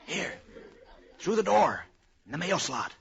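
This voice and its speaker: A man's voice: sits high, sing-song, a little slow, gravelly, a crowd in the background, heavily acted. A man with an American accent playing a fretful, scheming character in 1940s radio comedy. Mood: anxious, furtive. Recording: muffled, noisy old radio recording.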